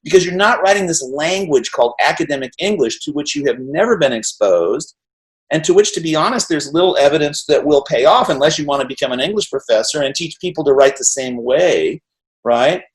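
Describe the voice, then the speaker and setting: A middle-aged man hosting an interview over a video call, talking in an energetic, rambling way and often tagging on "right?". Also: fairly high pitch, fairly quick, poor audio. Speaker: interview host. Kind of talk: animated casual conversation.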